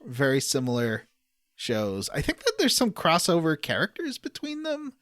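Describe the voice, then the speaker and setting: A man's voice: on the high side, wildly swooping pitch, normal speed, fairly bright tone, no room sound, clean studio sound. A man hosting a casual podcast, recapping a video game in a rambling, enthusiastic way. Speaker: podcast host.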